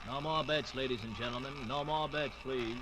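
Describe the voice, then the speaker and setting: An actor playing an impassive casino croupier in an old radio drama, calling out formally and in clipped phrases. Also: male voice, medium pitch, normal speed, lightly acted, poor audio. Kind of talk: 1940s-50s radio drama acting. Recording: old radio recording, busy crowd sounds.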